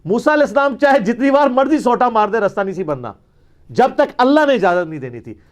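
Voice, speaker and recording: A man's voice: extremely high pitch, sing-song, quick pace. A man with a Pakistani Punjabi accent answering religious questions in an informal lecture, arguing emphatically with rhetorical questions and slipping into Punjabi.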